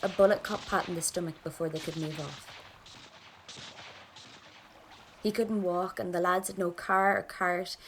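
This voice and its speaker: Actress as a low, gravelly grieving Irish woman. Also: steady tone, a little fast, slight background noise, close to an everyday voice. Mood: sombre. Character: grieving, stubborn Irish woman from a 1920s rebel family. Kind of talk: first-person audio drama monologue.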